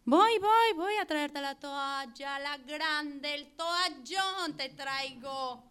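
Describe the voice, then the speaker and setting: A woman's voice: high voice, drawn-out words, fairly bright tone, exaggerated voice. A woman acting a chirpy, scatterbrained housemate in a radio drama, chattering and repeating words. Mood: placating. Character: scatterbrained, chirpy housemate fussing over towels and doors.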